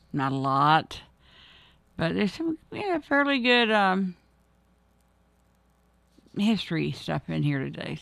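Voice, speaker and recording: A hoarse middle-aged woman hosting a radio show, chatting in a rambling, homespun way with many fillers. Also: sits low, no echo. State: hoarse, froggy voice. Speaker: radio host. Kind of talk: casual on-air chat.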